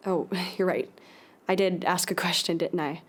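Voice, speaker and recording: A woman playing a determined investigative journalist in an audio drama, speaking in a deliberate, earnest way. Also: lowish voice, husky. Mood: earnest, tentative. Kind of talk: audio drama, scripted investigative podcast narration.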